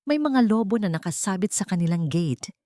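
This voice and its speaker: A woman reading a scripted dramatic story as an audiobook narrator, in a measured, suspenseful way. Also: medium pitch, lively intonation, dark tone, no echo.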